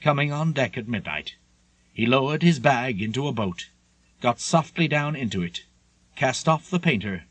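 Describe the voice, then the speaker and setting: A man with a husky, slightly rough voice reads an audiobook memoir in a measured storytelling style. His pitch sits in a middle male range, and the sound is dry, with no room around it. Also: dry recording. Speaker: audiobook narrator. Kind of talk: scripted narration of a seafaring memoir.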